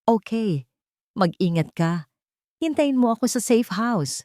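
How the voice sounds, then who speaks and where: A woman's voice: on the high side, animated, takes it slowly, dark tone, no echo, voice pushed up. Female reader as concerned woman accomplice, Filipino accent, cautioning manner, audiobook drama, close dry sound. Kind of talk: dramatic audiobook character dialogue.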